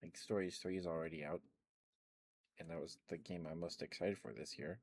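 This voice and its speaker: A man rambling in a soft, meandering ASMR chat, with stammered restarts and fillers, close to the microphone. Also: low voice, fairly even intonation, brisk, slightly rough. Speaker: ASMR streamer.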